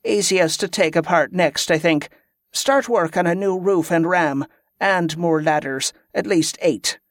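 A man's voice: a little high, a little slow, no echo, studio quality. A man voicing a stern, scornful female military commander who gives clipped orders in inverted dialect phrasing, in a fantasy audiobook. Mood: brisk, demanding.

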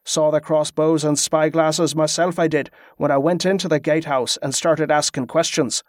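A man reading an audiobook, voicing a gruff young soldier with clipped, rustic speech. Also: on the high side, steady tone, normal speed, no echo, clean studio sound, natural voice. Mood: matter-of-fact.

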